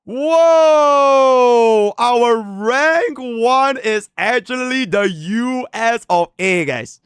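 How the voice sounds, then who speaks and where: A man from Singapore, live-streaming casual chat with his viewers, excitable and slangy, swinging between hyped outbursts and low mumbles. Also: very slow, clear, resonant tone, no room sound, studio quality.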